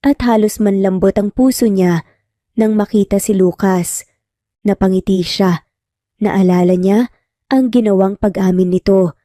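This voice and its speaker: A woman reads a scripted love story as its narrator. Her voice is middling in pitch and extremely mellow and dark. The recording is dry, without room sound. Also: no room sound.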